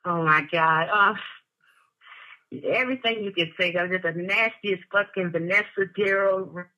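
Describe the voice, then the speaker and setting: Woman, 40s, very bright voice, frank and expressive caller. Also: lowish voice, a little slow, dull audio. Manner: candid and laughing, dramatic exclamations. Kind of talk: casual chat.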